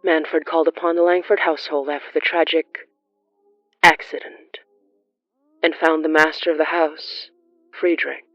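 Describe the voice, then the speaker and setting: A narrator telling a gothic horror story in a measured, theatrical, scripted style. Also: medium pitch, vocal fry, dry recording, highs cut off.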